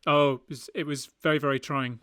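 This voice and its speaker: A man's voice: fairly high pitch, steady tone, a little fast, fairly bright tone, clear, resonant tone, no echo, studio quality. A British man chatting casually as a podcast host. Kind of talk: casual chat.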